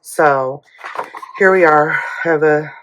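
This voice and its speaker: A hoarse woman telling a rambling family story in a casual budgeting vlog, full of fillers and asides. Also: low-pitched, slow, a bit bright, slight background noise, poor audio. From United States. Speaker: budgeting YouTuber. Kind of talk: casual chatty vlog while cash stuffing.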